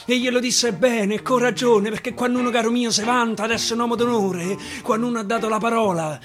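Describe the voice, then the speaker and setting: A male stage actor with a Roman accent recites dialect verse theatrically, swinging from hushed to loud. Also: extremely high pitch, fairly even intonation, fast. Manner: dramatic, swinging from hushed to shouting. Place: radio studio. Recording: clear guitar music underneath. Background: plucked guitar accompaniment.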